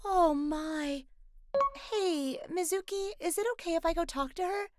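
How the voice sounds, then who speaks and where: A woman playing a kind, caring young girlfriend in a manga dub, speaking with gentle earnestness over background music. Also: very high voice, a little slow. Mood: concerned, caring. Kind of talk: dubbed manga story acting.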